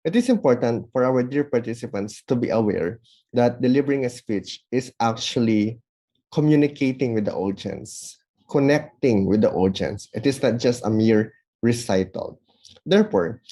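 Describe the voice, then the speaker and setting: A man giving formal, measured feedback as a judge, working through numbered points. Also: mid-range voice, animated, mellow. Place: online event recording.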